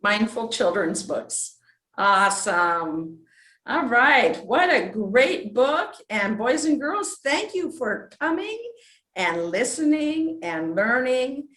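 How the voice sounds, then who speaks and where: A woman's voice: fairly high pitch, very animated, slow pace, a bit bright. A woman hosting a children's storytime, speaking in an encouraging, effusive way to guests and young viewers. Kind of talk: host interview and sign-off to children. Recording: echoey room.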